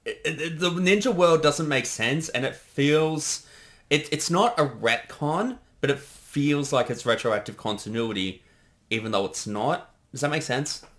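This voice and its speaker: A man's voice: fairly high pitch, lively intonation, a little slow, fairly bright tone. A man giving casual, rambling creator commentary, often interrupting himself. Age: 30s. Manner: hesitant, rambling, self-interrupting.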